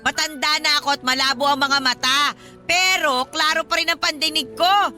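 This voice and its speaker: A woman's voice: sits high, steady tone, moderate pace, sharp tone, soft background music. A woman playing a lonely, demanding old godmother who talks in a pushy, coaxing way in a radio drama. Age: older.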